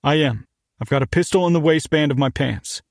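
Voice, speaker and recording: Man voicing an earnest American man, placating, calm, slightly raised pitch, gravelly, dry close sound. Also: brisk, no room sound. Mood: pleading. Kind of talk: audiobook character voice.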